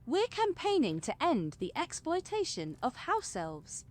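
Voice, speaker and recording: Woman playing prim schoolgirl, high pitch, lively melody, earnest, emphatic, bookish, audio drama. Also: measured pace, full character voice. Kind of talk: fan audio drama acting.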